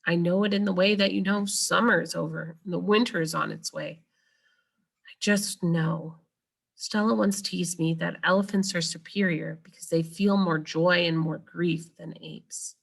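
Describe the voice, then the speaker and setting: A woman's voice: on the low side, fairly even intonation, normal speed, gravelly. A woman reading a children's novel aloud as a storyteller. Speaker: read-aloud reader.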